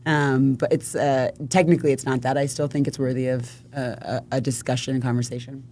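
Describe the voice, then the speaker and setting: A woman's voice: rumbling low, level tone, a little fast, a little mellow. Woman council member explaining with fillers. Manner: explanatory, thinks aloud with many fillers. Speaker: city council member guest. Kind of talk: casual panel conversation.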